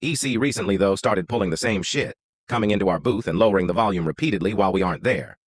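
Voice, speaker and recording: A man's voice: lowish voice, fast, no echo. A man reading out an online story as a scripted narration.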